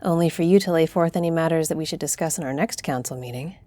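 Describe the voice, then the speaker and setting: Woman acting as stately, mellow-voiced council leader. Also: low-pitched, no room sound, lightly acted. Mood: measured, approving. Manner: formal, authoritative, deliberate. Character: stately female griffin-shifter council leader, solemn, fair-minded diplomat. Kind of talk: fantasy audio roleplay acting.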